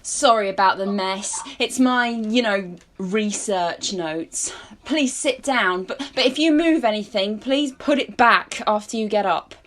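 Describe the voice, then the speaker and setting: A woman's voice: medium pitch, unhurried, fairly bright tone, a little hiss or hum, close to an everyday voice. A woman playing an earnest, spacey hippie conspiracy theorist in an amateur radio play, dreamy and rambling.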